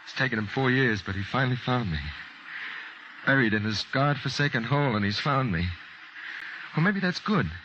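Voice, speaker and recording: A man's voice: medium pitch, lively intonation, moderate pace, long pauses, lightly acted. An American actor in an old-time radio drama playing a bitter, desperate, hard-boiled fugitive man in his thirties who was wrongly convicted. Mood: grim, fearful. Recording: muffled, noisy old radio recording.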